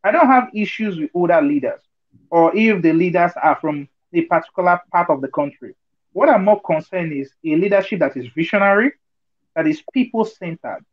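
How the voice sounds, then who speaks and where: A man makes his case over a video call in a fairly high voice, earnest and argumentative, often stopping to ask if his listeners follow. The sound is dull and lo-fi, like compressed online call audio. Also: expressive, normal speed. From Nigeria. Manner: earnest, argumentative, many fillers and rhetorical checks. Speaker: discussion participant. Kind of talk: online group discussion.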